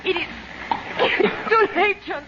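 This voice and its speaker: A woman's voice: extremely high pitch, slow pace. An actress in a 1940s radio serial playing a frightened, faltering woman being rescued. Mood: fearful. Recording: noisy, muffled old radio recording, distant.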